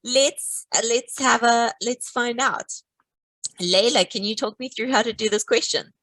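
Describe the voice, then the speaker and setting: A woman's voice: a little high, measured pace, a bit bright, no echo, rough recording. A woman teaching a live online maths lesson, playful and teasing, walking through each step.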